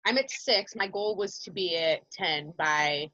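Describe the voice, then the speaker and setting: Thirties woman, dry lo-fi call audio, lively storyteller. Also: lowish voice, measured pace, no room sound. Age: 30s. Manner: animated storyteller, quotes herself and others. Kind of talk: casual group video call chat.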